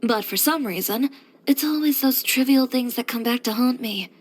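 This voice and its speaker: A woman's voice: a little high, normal speed, natural voice. An actress playing a headstrong, composed young woman who runs a boutique, speaking frankly and with dry confidence, as video game character voice lines. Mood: frank, defiant. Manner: matter-of-fact, frank, dryly confident delivery.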